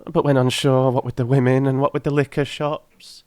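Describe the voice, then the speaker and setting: A man's voice: medium pitch, flat delivery, normal speed, a little mellow, clean tone, no echo, clean studio sound, pitched above the performer's own voice, lightly acted. A man voicing a garrulous, wheedling old working-class London woman who mumbles and rambles in an audiobook. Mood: guarded, garrulous. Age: older. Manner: mumbling, rambling, effusively grateful.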